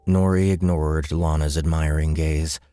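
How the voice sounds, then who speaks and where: A man's voice: a bass voice, little pitch movement, measured pace, warm tone, faint music behind. A man narrating a fiction audiobook from a script.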